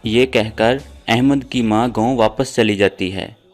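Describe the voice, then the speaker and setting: A man's voice: mid-range voice, unhurried, soft background music. A man narrating a scripted moral story as storyteller.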